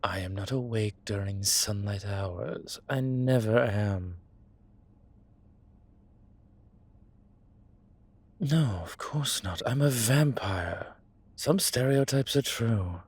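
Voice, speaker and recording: A man playing a suave, sentimental vampire in a scripted audio roleplay, speaking in measured, wry, formal phrases with a husky, breathy voice. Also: on the low side, steady tone, a little mellow. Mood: weary, amused. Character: suave, sentimental male vampire, tired and scheming. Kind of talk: scripted intimate audio roleplay, one side of a conversation.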